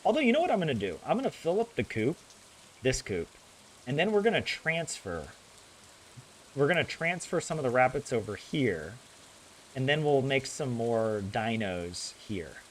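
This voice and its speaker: Chatty young American man, lively pitch. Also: moderate pace, a little hiss or hum, poor audio. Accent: general American. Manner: rambling, chatty, self-correcting, laughs often. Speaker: gaming streamer. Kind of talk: casual chat with viewers while playing a farming game.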